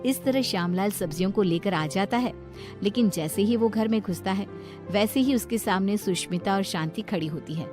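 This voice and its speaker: A woman's voice: medium pitch, moderate pace. A woman storyteller reading scripted narration of a moral tale. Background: light background music.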